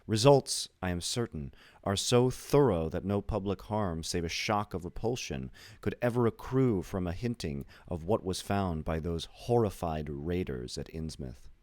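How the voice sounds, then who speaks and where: A man reading a classic horror story aloud as scripted literary narration, in a measured, deliberate manner. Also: deep voice, no room sound, clean studio sound. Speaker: audiobook reader.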